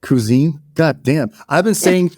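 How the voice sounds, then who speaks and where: A man's voice: a little high, animated, unhurried, darkish tone, no room sound, clean studio sound. A Canadian-raised man whose first language is Polish, co-hosting a podcast in rambling, casual chat with frequent restarts and casual swearing. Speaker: podcast co-host.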